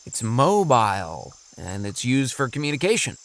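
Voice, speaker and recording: A man's voice: mid-range voice, sing-song, moderate pace. A man playing a thoughtful, kindly middle-aged father in a children's audio drama, explaining things reassuringly.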